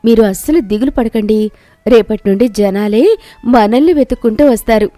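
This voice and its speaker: A woman's voice: a little high, moderate pace, dark tone, dry recording, lightly acted. A woman voicing a hopeful, determined young village daughter-in-law in a cartoon story, earnest and reassuring. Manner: earnest, reassuring, respectful to her elder.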